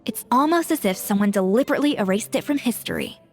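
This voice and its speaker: An actress playing a sharp, dramatic young game heroine, with an earnest and crisp manner. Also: female voice, mid-range voice, lively intonation, quick pace, close to an everyday voice. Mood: pensive. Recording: music behind.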